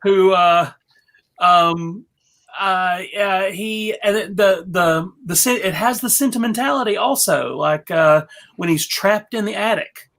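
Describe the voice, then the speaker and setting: Slow, halting woman panelist. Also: mid-range voice, fairly bright tone. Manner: halting, searching for words. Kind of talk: casual panel discussion about films.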